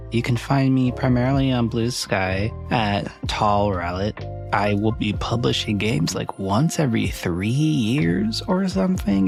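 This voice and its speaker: A man's voice: medium pitch, vocal fry. A man speaking as a performer on an actual-play storytelling podcast. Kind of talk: podcast narration and sign-off.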